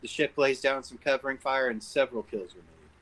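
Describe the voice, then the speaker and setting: A man's voice: mid-range voice, fairly even intonation, brisk, fairly bright tone, low-quality recording. A man serving as narrator, reading screenplay stage directions at a table read.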